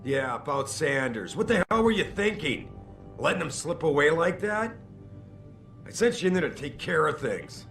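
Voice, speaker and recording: A man's voice: on the high side, very animated, moderate pace, a bit bright. An actor playing a blunt, demanding male corporate boss in a video game. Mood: angry, demanding. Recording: game soundtrack with music under speech.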